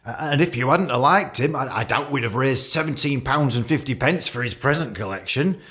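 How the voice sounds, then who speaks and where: Actor as a rambling, catty British office boss, animated. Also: male voice, medium pitch, a little fast, natural voice. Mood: fond, rambling. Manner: hesitant, repetitive, jokey with barbed asides. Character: awkward, catty office boss giving a colleague's leaving speech. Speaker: actor in a radio play. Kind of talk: radio play monologue, rambling speech.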